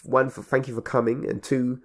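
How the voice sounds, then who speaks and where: A man hosting a radio conversation, speaking reflectively in measured, halting phrases and building on repeated words. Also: mid-range voice, flat delivery. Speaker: radio show host and event organiser.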